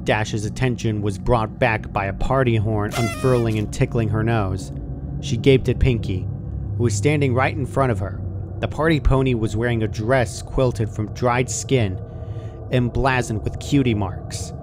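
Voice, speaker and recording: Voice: medium pitch, a little slow, over music. A narrator reading a scripted horror fan fiction story aloud.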